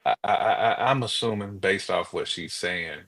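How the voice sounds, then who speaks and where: Deep-voiced man chatting on a podcast. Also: moderate pace, gravelly, a bit bright. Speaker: podcast co-host. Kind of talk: casual conversational discussion.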